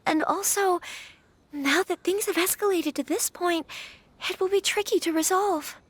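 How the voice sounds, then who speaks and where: An earnest young noblewoman from a video game, thoughtful and quietly firm. Her voice sits very high and bright. The recording is completely dry and close. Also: moderate pace, no room sound. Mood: pensive. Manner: soft, thoughtful, firm when she must be. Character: young noblewoman, earnest and composed clan heir.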